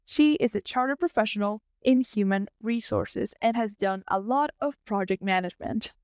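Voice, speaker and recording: A woman's voice: mid-range voice, normal speed, a little mellow, clear, resonant tone, no echo, clean studio sound. A woman hosting a podcast, giving prepared introductions and interview questions. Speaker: podcast host.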